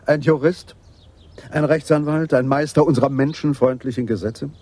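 An actor playing a skeptical, wry senior police detective in a German radio play. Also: male voice, on the high side, steady tone, moderate pace, a little mellow, close to an everyday voice.

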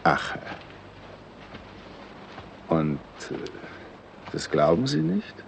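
Slippery state secretary, gravelly and animated, bossy, bit of hesitating, noisy old radio drama tape. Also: male voice, a bass voice, extremely slow, heavily acted. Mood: probing, doubtful. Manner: hesitant openings, then insistent and officious. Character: evasive senior state secretary, male, pressuring a subordinate. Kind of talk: 1960s German radio drama acting.

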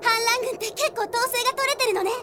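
An actress voicing a carefree, excitable teenage girl knight with a cute, chirpy manner in a radio drama. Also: very high voice, quick pace, heavy background noise. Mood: impressed, chirpy. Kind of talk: Japanese radio drama acting. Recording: loud music behind.

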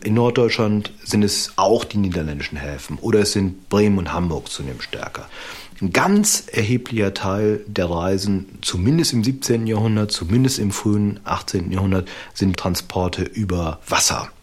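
A male expert speaks in an interview with a very low, husky voice. He explains things in an academic way, phrasing deliberately. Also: lively intonation.

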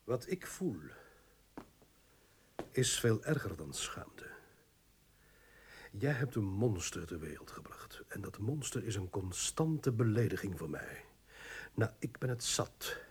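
A man's voice: fairly low pitch, big pitch swings, slow, long pauses, slightly rough, heavily acted. A man playing a proud, cruel, hard-drinking baronet in a radio drama, with theatrical bluster. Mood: cold contempt. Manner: theatrical, blustering, swinging from roars to menacing hushes. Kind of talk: Dutch-language radio drama acting.

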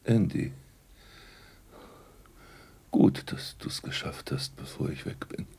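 Gravelly, gasping dying priest. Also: male voice, extremely low pitch, animated, a little mellow, heavily acted. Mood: weak, urgent. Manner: halting, breathy whispering with anguished bursts. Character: dying old priest, guilt-ridden sinner confessing. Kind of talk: German radio drama acting.

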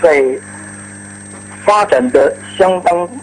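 Halting, slightly high-voiced man. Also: soft background music, low-quality recording. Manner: halting, frequent trailing particles. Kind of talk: casual reminiscence.

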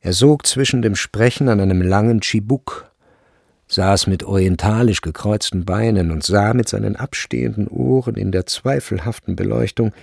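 A man with a husky, gravelly voice narrating a literary radio drama from a script. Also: on the low side, normal speed, a little mellow, dry recording, studio quality. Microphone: close, dry studio recording.

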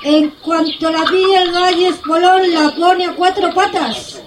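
A woman's voice: very high voice, measured pace. A woman playing a sceptical, grumbling farmyard hen in a comic radio play. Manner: dismissive, colloquial. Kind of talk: comic radio theatre acting.

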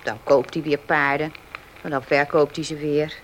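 A woman's voice: low-pitched, level tone, normal speed, close to an everyday voice, low-quality recording. An actress playing a loyal, deferential but protesting female servant in a Dutch radio play. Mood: guarded. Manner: earnest, deferential, defensive. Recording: faintly hissy old radio play.

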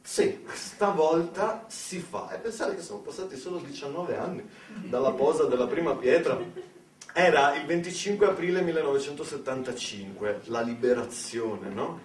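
A man's voice: a little high, moderate pace, a little hiss or hum. An Italian man performing a satirical theatre monologue in an ironic, colloquial way, full of rhetorical questions and asides. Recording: echoey room, distant microphone.

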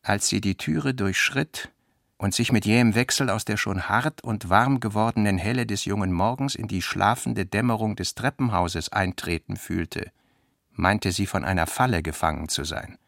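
A man reading literary narration for a radio play in a measured, carefully articulated way. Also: lowish voice, creaky, a bit bright, no echo, clean studio sound.

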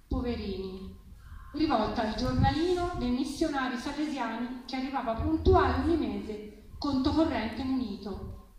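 Woman reading a story, very echoey. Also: high voice. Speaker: reader at a literary reading. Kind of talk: expressive literary story reading. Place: live festival reading. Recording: very echoey, distant, faint noise.

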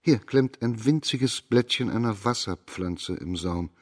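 An actor playing an authoritative, shrewd imperial magistrate, questioning deliberately in a German radio crime drama. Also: male voice, fairly low pitch. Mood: pondering.

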